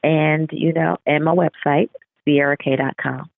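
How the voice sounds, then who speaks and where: A woman speaks as an author being interviewed, in a conversational style. Her voice is gravelly with vocal fry, and it comes through a muffled, phone-like connection. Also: low-pitched, steady tone, measured pace, dry recording. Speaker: author, podcast guest. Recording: muffled, phone-like narrow-band call.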